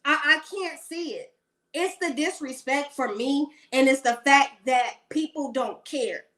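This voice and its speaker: A woman's voice: high voice, a little slow, a bit bright. A woman in her late thirties giving blunt, sassy celebrity gossip commentary in a rambling rant. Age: 30s.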